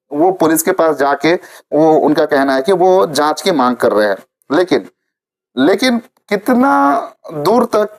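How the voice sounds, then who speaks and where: A man presenting informal news commentary to viewers, repeating phrases for emphasis. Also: a little high.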